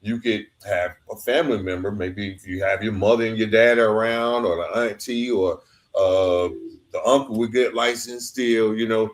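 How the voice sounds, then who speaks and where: A man's voice: on the low side, steady tone, normal speed. A man hosting a conversational interview, reflective and informal, sharing his own anecdotes and often checking in with a tag question. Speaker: podcast host.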